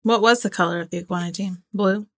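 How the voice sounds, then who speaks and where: A woman's voice: medium pitch, fairly even intonation, fast talker, a bit bright, dry recording, clean studio sound. A woman co-hosting a casual, playful quiz chat. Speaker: co-host and quiz player.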